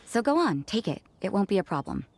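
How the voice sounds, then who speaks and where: A woman's voice: mid-range voice, big pitch swings, fairly quick, creaky, a little mellow. A woman playing a cool, aloof young church sister, curt and laconic, in an anime game.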